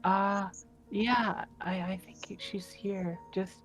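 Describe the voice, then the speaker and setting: A man's voice: high-pitched, fairly even intonation, takes it slowly, a bit bright, faint music behind, voice pushed up, rough recording. A man voicing a protective teenage boy who is hesitant but reassuring in a tabletop game. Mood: anxious, reassuring.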